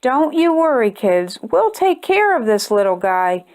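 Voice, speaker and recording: A woman's voice: on the high side, very animated, unhurried, darkish tone. A woman voicing a silly, kindly old grandfather in a storybook, with a folksy, reassuring manner.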